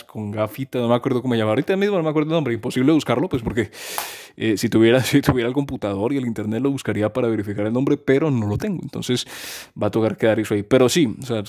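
A man's voice: mid-range voice, darkish tone. A man, talking casually and at length about music, rambling with many restarts and fillers. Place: home during a power cut.

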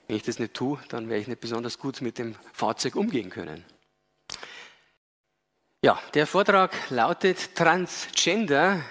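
A man's voice: mid-range voice, a bit bright. A man in his fifties with a Bavarian accent with an Austrian tinge, giving an earnest, explanatory public lecture with frequent hesitation sounds. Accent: Bavarian with a slight Austrian tinge. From Bavaria, Germany. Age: 50s. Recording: long reverb of a lecture hall.

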